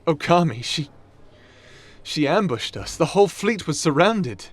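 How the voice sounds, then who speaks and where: A man's voice: on the high side, very animated, measured pace. A man playing an anxious, earnest soldier in a fantasy audio roleplay, talking in an urgent, faltering way. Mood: shaken.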